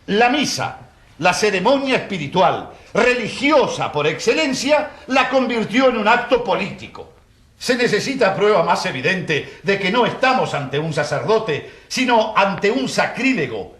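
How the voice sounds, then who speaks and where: High-pitched, pompous prosecutor. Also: male voice, fairly bright tone, heavily acted. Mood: indignant. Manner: pompous, rhetorical. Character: theatrical male prosecutor, accusing, indignant, sarcastic. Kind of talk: radio drama courtroom oratory. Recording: roomy, echoing courtroom sound.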